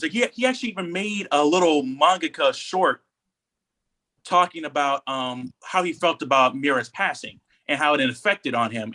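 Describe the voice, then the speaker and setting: A man co-hosting a casual podcast discussion, telling stories in an animated, slangy way and acting out quotes. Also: on the high side. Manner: animated storytelling, acts out quotes in slangy speech. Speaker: podcast co-host.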